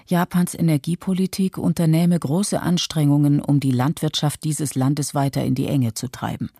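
A reflective, critical commentator voiced by a reader in a radio play. The delivery is measured and argumentative, mellow in tone, with a dry, close sound. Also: mid-range voice, dry recording, lightly acted. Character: critical, reflective Japanese commentator on nuclear policy. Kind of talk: scripted radio-play monologue, read testimony.

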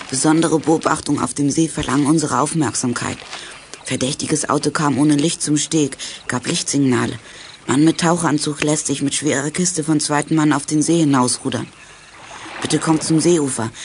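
A man's voice: on the high side, a little hiss or hum, lightly acted. An actor playing an alert teenage boy detective in a children's radio play, speaking in a clipped, watchful way.

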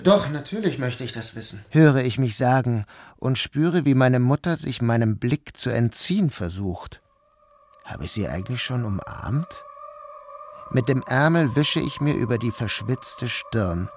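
Measured man reading a dreamlike novel. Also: mid-range voice, lively intonation, darkish tone, slight background noise. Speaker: audiobook narrator. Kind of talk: literary first-person reading.

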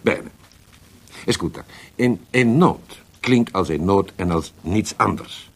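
A worldly Italian poet fallen from favour, in a radio play. His very deep voice is gravelly and swings widely in pitch. He speaks with an Italian accent, theatrical and halting, dropping in Italian words as he schemes. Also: expressive, heavily acted. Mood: expansive, proud. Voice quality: gravelly, husky. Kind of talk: radio drama acting.

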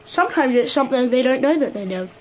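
Radio play: knowing young girl detective, precocious and matter-of-fact, high-pitched, light background noise. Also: expressive, fast talker, darkish tone. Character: Josephine, precocious, morbid, cool little girl detective. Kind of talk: radio drama acting.

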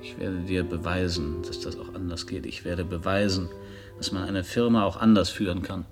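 An actor playing a proud, principled company director in a radio crime drama, with a firm, formal and defiant delivery. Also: male voice, sits low, little pitch movement, moderate pace, loud music behind the voice, lightly acted.

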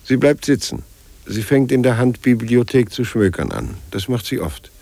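An actor as a plain, restrained reporting narrator in a 1950s radio drama. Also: male voice, medium pitch, big pitch swings, normal speed, creaky, mellow, dry recording. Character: restrained male narrator reporting on a reading woman.

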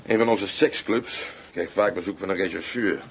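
Casting: a coarse, mocking crime-gang henchman for radio drama, lowish voice, cold threats, slightly noisy recording. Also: normal speed, gravelly. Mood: casual menace. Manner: taunting, threatening.

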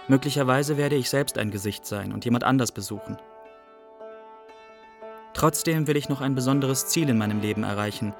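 An actor playing a melancholy young Iraqi refugee, narrating reflectively and in measured phrases in a literary radio drama. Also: male voice, medium pitch, lightly acted. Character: melancholy young Iraqi refugee recounting his life in Germany.

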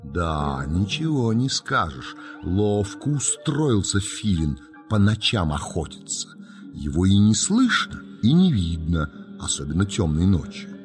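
A man narrating a children's forest story in a dramatic, suspenseful, deliberate manner. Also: low voice, big pitch swings, exaggerated voice. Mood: suspenseful, storytelling. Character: hushed, mysterious storybook narrator of forest tales. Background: music.

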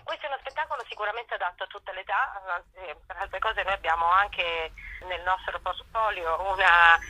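A woman with a slightly husky voice, explaining things phrase by phrase with hesitations as a radio interview guest. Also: medium pitch, fairly quick, sharp tone, poor audio. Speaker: interview guest, stage actress. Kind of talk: spontaneous radio interview, promoting a stage show.

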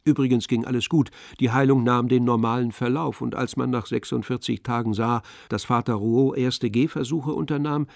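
A man narrating a literary story in a measured, descriptive manner. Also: medium pitch, fairly even intonation, vocal fry, no room sound, clean studio sound.

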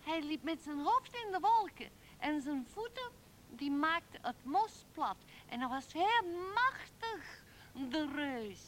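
A woman's voice: high-pitched, very animated, takes it slowly, a bit bright, a little hiss or hum, exaggerated voice, poor audio. A woman playing a small, curious child in a radio drama, plaintive and full of simple wonder. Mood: dreamy, curious.

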